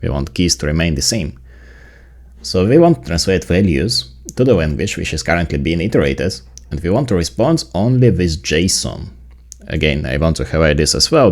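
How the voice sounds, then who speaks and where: An extremely deep-voiced man with a Ukrainian accent, speaking as a native Ukrainian. He walks through software in a casual tutorial style. His delivery is explanatory, leaning on the points that matter. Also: vocal fry. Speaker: tech video creator.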